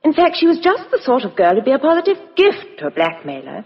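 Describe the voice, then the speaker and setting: A woman's voice: sits high, wildly swooping pitch, fast, heavily acted. Cool young english woman, outspoken, very up and down voice, old radio play, dull echoey sound. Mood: agitated, frank. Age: young adult. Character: cool, self-possessed young Englishwoman, sharp-minded and outspoken. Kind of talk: 1950s radio drama acting. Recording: muffled vintage radio broadcast, reverberant studio.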